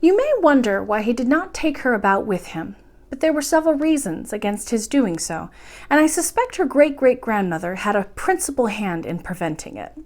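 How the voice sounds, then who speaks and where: A woman's voice: fairly high pitch, big pitch swings, normal speed, darkish tone. A woman reading a children's story aloud expressively, as the host of a bedtime storytime.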